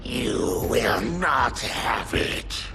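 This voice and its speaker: A wrathful female demon, ancient and imperious, for a video game. Her slow, creaking menace lurches widely in pitch. The voice echoes under very loud music. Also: animated, full character voice. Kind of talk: video game villain acting.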